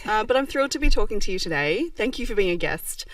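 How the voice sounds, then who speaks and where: A woman's voice: mid-range voice, lively intonation, fast. A woman hosting a podcast interview, asking questions with enthusiasm. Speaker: podcast host. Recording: dry, slightly distant mic.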